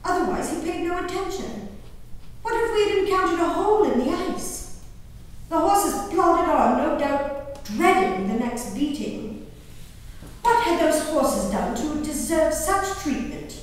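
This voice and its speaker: A woman performing a dramatic stage reading as a grieving, wayward colonial-era gentlewoman and mother, emotive and deliberate, drawing out her words. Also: very high voice, lively intonation. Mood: distressed, somber. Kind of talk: theatrical dramatic reading of a diary. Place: live performance in a large echoey room. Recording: echoey hall, distant mic, faint noise.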